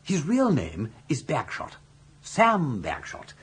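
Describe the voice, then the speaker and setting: An actor playing a suave, roguish older gentleman with an upper-class English accent, speaking in flowery, persuasive phrases in a British radio comedy. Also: mid-range voice, huge pitch swings, takes it slowly, husky, full character voice. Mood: smooth, confiding.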